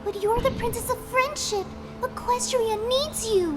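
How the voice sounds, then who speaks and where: A woman's voice: extremely high pitch, unhurried, over music. A woman voicing a frightened, timid female pony in peril in a dramatic story reading, pleading in panic. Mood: panicked, distressed. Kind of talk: dramatic fan fiction reading.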